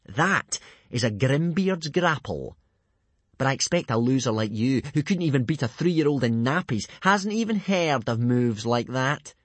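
A man's voice: mid-range voice, big pitch swings, measured pace, husky, no room sound, pitched above the performer's own voice. A man voicing a boastful, sneering teenage Viking bully in a children's audiobook, drawling and self-satisfied. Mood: jeering. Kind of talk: children's audiobook character acting.

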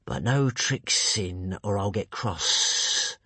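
Huge dim monster, drawling very slowly, hissing and husky, sulky menace for a children's story. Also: male voice, lowish voice, lively intonation, pushed and bright, dry recording, voice pushed down, heavily acted. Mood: hurt, grumpy. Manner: slow, drawn-out, musing, simple broken grammar. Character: huge slow-witted male tentacled cave monster, menacing, sulky. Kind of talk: children's audiobook character voice.

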